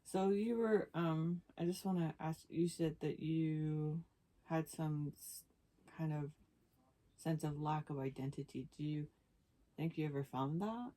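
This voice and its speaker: A woman's voice: low-pitched, steady tone, unhurried, mellow. A woman hosting a podcast, interviewing casually with probing follow-up questions. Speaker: podcast host interviewing guest.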